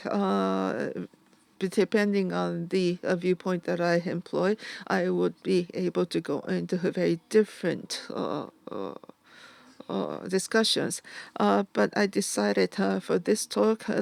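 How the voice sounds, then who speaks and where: An interpreter giving a live interpretation of an artist talk at a museum event, halting, with an "uh" before nearly every phrase. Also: medium pitch, creaky, darkish tone, no echo.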